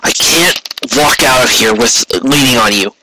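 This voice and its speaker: A man's voice: mid-range voice, normal speed, noisy background, natural voice. A man voicing a weary, wounded male pony guard captain, proud and dutiful, speaking in a tired, firm and terse way. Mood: strained, dutiful.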